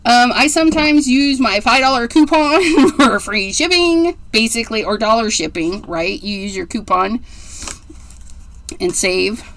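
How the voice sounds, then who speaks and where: A woman's voice: on the high side, a little slow, fairly bright tone. A woman in her forties hosting a casual card-making livestream, chatty and rambling, gushing over how cute things are. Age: 40s. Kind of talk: casual livestream craft demo.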